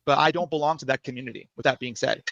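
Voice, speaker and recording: A man with a soft, fairly high voice, arguing his case in an animated, defensive way on a video call, full of restarts. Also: rapid-fire, vocal fry, no echo, highs cut off. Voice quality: soft, higher voice. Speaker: guest. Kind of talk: heated casual debate on a livestream.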